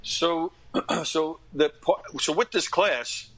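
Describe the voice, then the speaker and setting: Bright-voiced American man telling stories casually. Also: fairly high pitch, slow, low-quality recording. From Long Island, New York, United States. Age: 50s. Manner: rambling, self-deprecating, often clears throat. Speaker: interview guest, actor and comedy performer. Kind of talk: casual conversational storytelling.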